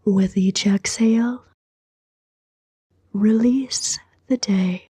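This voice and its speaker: A woman softly narrating a scripted sleep meditation in a hushed, breathy whisper, soothing and unhurried, with long pauses. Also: mid-range voice, steady tone, no echo, clean studio sound.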